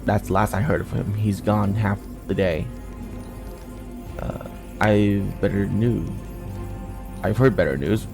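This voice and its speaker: A man talks through a video game in a casual way, reading its dialogue aloud. His voice is fairly low and full of fry, with music clearly audible behind him. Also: takes it slowly, long pauses.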